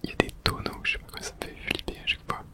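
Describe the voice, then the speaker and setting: Whispering ASMR gamer. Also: very fast. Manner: whispering throughout; casual swearing, muttering to self. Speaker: video game streamer. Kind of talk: whispered ASMR game playthrough.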